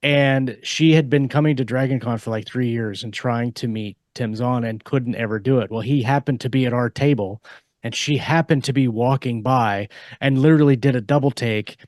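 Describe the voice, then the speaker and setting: A man co-hosting a podcast in casual chat, telling stories in a joking way with hesitant pauses. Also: medium pitch, darkish tone, no room sound, studio quality. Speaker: podcast co-host and author.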